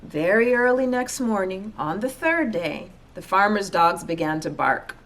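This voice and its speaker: A woman reading a children's storybook aloud as an expressive narrator. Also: medium pitch, a little slow. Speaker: storybook reader.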